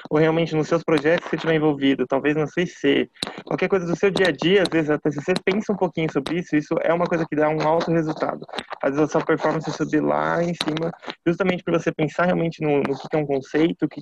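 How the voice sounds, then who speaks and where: A man's voice: a little high, creaky, background noise. A man moderating a video call panel, explaining eagerly. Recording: dull lo-fi call audio.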